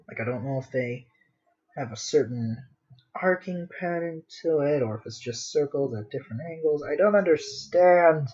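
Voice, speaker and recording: A man with a slightly high voice talks casually to himself and his viewers as he draws on a livestream. He rambles along with a playful, dramatic streak. The microphone sound is a little dull and lo-fi. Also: normal speed, darkish tone. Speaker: streamer, digital artist. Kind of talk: casual livestream chat while drawing.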